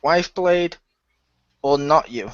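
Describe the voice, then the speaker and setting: A man's voice: on the high side, slow pace, dry recording. A man chatting casually as a cast member, teasing the others and laughing a lot. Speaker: cast member of an audio drama. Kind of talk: casual chat. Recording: dull lo-fi, dry sound.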